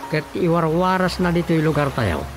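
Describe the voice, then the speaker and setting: A man's voice: fairly high pitch, animated, fairly quick, close to an everyday voice. Man playing a gossipy villager over music. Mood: gossiping. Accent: Filipino. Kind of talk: Filipino comedy radio drama acting.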